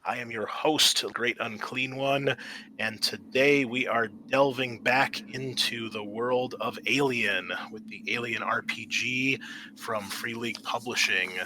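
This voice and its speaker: A man's voice: medium pitch, steady tone, a little slow, pushed and bright, slight background noise, low-quality recording. A man hosting a tabletop roleplaying show as game master, chatting casually and introducing players in an announcer-like way.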